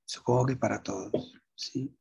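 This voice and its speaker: A man's voice: mid-range voice, steady tone, normal speed, creaky, darkish tone, a little hiss or hum. A man taking part in a seminar on a video call, graciously thanking the speakers. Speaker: seminar participant.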